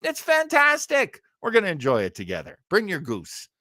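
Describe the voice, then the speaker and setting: A man's voice: sits high, huge pitch swings, moderate pace, very noisy. A man hosting a livestream in a casual, rambling, jokey way, often interrupting himself. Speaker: livestream show host.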